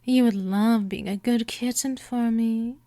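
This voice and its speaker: A woman voicing a gentle but possessive vampire mistress in a hypnosis ASMR roleplay, coaxing softly and often slipping toward a whisper. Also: a little high, steady tone, no echo, studio quality, natural voice. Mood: teasing, seductive. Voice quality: soft, breathy whispering in places. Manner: slow, coaxing, drawn-out delivery.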